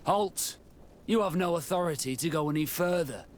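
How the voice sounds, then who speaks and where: Gruff, curt prison guard played by a man. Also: fairly high pitch, normal speed, lightly acted. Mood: commanding. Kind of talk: video game voice acting.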